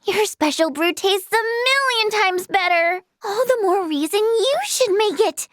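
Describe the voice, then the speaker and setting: A woman playing an earnest, bubbly young female healer and scholar in a video game, speaking in a girlish, expressive way. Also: very high-pitched, a little slow, dry recording, clean studio sound, heavily acted. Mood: playful, pleading.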